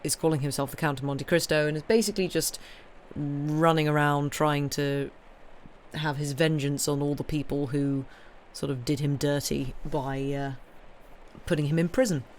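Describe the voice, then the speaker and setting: A woman's voice: low-pitched, steady tone, moderate pace, slight background noise. A woman chatting casually to live stream viewers as host, informal and hesitant as she thinks aloud.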